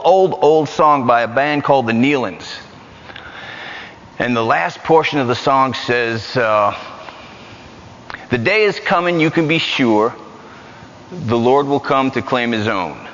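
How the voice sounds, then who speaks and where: A man with a New York accent preaching a lively, joking sermon in a church. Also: medium pitch, a little hiss or hum. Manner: animated, joking, repetitive. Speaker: guest preacher. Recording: muffled church hall recording.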